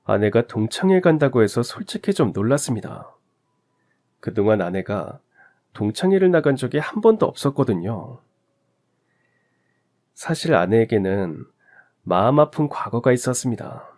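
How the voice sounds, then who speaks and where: Man, storyteller, calm, reflective, confessional, even middle pitch. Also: normal speed, long pauses. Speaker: story narrator. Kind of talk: scripted radio story narration.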